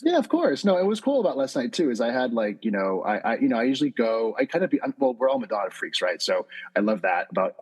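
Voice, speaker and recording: Husky-voiced man telling a chatty story. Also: medium pitch, fast talker, few pauses. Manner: chatty, animated storytelling with laughs and quoted asides. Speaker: podcast guest, fan. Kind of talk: casual podcast chat.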